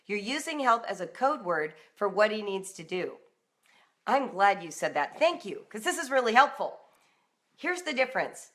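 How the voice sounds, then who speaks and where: A middle-aged woman with an American accent talks in an informal coaching style. Her mid-pitched voice is direct and conversational, and she stresses her points as she addresses listeners. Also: sing-song, moderate pace. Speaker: parenting and homeschool coach, live broadcast host. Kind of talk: informal live coaching talk with acted-out example dialogue.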